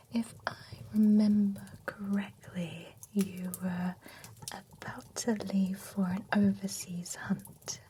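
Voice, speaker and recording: A woman voicing a gentle female spa attendant for monsters, in a soft, teasing, whispered ASMR role-play. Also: fairly low pitch, a little hiss or hum. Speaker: ASMR creator.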